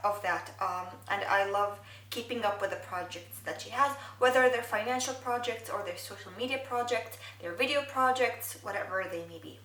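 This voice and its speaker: A woman's voice: mid-range voice, bright, forceful tone, reverberant, distant mic, low-quality recording. A young woman whose first language is Arabic, chatting thoughtfully through a makeup video from her bedroom, with many fillers. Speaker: beauty vlogger. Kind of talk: get-ready-with-me makeup chat. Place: bedroom, indoors.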